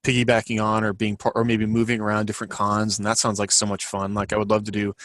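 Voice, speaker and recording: Low-pitched man chatting, close and dry. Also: monotone, fast, fairly bright tone, no room sound. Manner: rambling, hedging, thinking aloud. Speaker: podcast co-host and co-author. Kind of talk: casual podcast chat.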